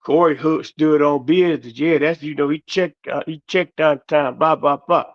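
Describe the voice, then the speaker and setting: A man's voice: a little high, fairly even intonation, moderate pace, muffled recording. A man in his thirties who is losing his voice gives a rambling, casual commentary as a show host, often trailing off mid-sentence.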